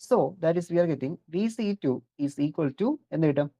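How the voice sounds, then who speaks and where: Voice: medium pitch, expressive, slow, dark tone. A lecturer with a Malayali accent from Kerala explains electronics step by step, posing questions and answering them and mixing in English technical terms. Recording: dull, lo-fi audio.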